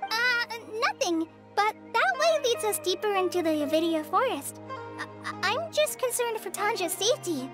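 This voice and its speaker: A woman's voice: very high voice, big pitch swings, moderate pace. A woman voicing a shy, earnest young female forest ranger in a game, hesitant and prone to stammering. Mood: worried. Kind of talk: studio game voice acting. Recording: game audio with background music.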